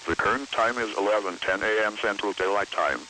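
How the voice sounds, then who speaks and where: A man's voice: fairly low pitch, brisk, pushed and bright, poor audio. A man's synthetic voice giving automated station announcements on weather radio. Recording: hissy weather radio broadcast.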